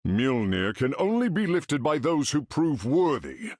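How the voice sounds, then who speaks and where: A noble warrior god for a game. He speaks slowly in a mid-pitched, dry voice with big rises and falls. The delivery is stately and archaic. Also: sing-song, darkish tone, no echo. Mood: solemn. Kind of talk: video game character acting.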